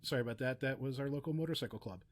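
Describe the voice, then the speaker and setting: A man's voice: medium pitch, rapid-fire, soft background music. A man speaking casually as a podcast guest, explaining audio production in chatty, meandering asides. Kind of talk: casual interview chat, giving practical advice.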